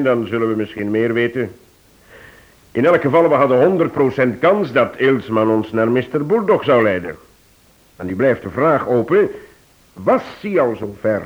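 A man acting as a shrewd, genial police chief in a radio play. He speaks at a middling pitch with easy authority, and the old recording is dull and lo-fi. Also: lightly acted. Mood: pondering. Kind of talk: Dutch-language radio drama acting.

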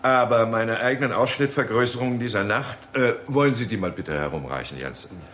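An actor playing a keen, courteous astronomer in a 1960s German radio drama, explaining things emphatically and politely. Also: male voice, mid-range voice, expressive, a little fast, a bit bright, lightly acted. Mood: confident, explanatory. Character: keen, courteous male astronomer presenting an alarming discovery. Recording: 1960s radio recording with faint noise.

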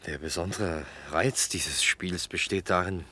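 Middle-aged salesman in a radio play, low husky voice, jovial but jumpy. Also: a little slow, bright, forceful tone, exaggerated voice. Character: jovial, uneasy salesman guest, easily spooked. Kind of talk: German radio play acting.

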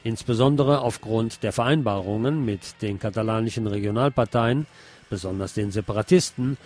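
A man's voice: lowish voice, a little mellow. Man reading radio news over faint music.

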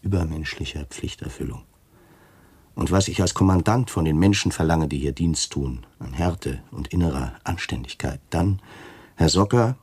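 A man's voice: a bass voice, moderate pace, darkish tone, slightly rough, no echo. An actor playing a stiff, self-important, hesitant male camp commandant in a German radio play. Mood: solemn, pompous. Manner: solemn, pompous, hesitant.

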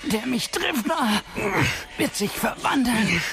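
A performer playing an anguished, obsessive young poet, reciting with a creaky voice in a German radio drama. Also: male voice, very high voice, big pitch swings, slow, heavily acted. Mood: anguished, intense.

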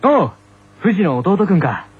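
An actor playing a friendly older schoolboy in a comic radio drama. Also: male voice, sits high, sing-song, measured pace, husky, full character voice. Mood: breezy. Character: genial older schoolboy, tennis team senior. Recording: muffled lo-fi radio recording.

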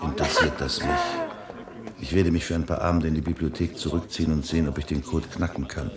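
A man's voice: very deep voice, steady tone, fast, heavy background noise. An actor playing a curious, dryly sardonic man puzzling over documents in a 1970s German radio play. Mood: amused, intrigued. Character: curious, wry man deciphering a coded document.

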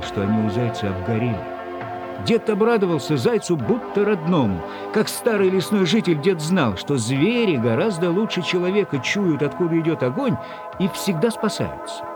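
A man reading a literary story as an audiobook narrator, with a husky voice. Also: fairly high pitch.

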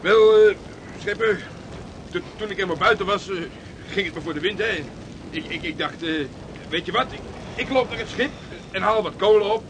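A man's voice: high-pitched, moderate pace, background noise, close to an everyday voice. Stammering, shifty sailor, acted by a man. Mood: nervous, evasive. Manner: stammering, hesitant, self-justifying. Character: sly, evasive sailor making shifty excuses. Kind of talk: radio drama acting.

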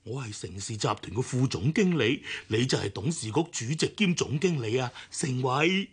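A man's voice: medium pitch, lively intonation, moderate pace, breathy edge. A man acting an anxious, placating company deputy manager in a Cantonese radio drama from Hong Kong, stammering and soothing. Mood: anxious, insistent.

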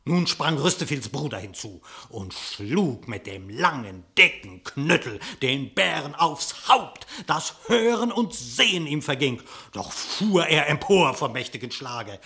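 A man narrating a verse fable theatrically, with dramatic, expressive declamation. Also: a little high, measured pace, hardly any pauses. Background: music.

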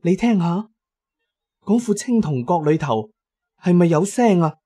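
A woman's voice: on the low side, expressive, unhurried, dark tone, breathy edge, voice pushed up. A man voicing a serious, watchful female tomb explorer in a radio drama. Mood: hushed alarm.

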